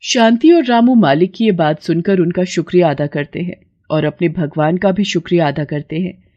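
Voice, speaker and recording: A woman's voice: fairly low pitch, moderate pace, dull audio. A woman narrating a scripted moral tale as storyteller.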